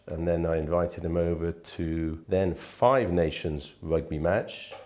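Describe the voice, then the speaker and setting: Man, fifties, very low and mellow voice, chatty interviewee who rambles through his anecdotes. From Wales. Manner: chatty, rambling with frequent restarts. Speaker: interviewee, real estate manager. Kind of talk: relaxed anecdotal storytelling.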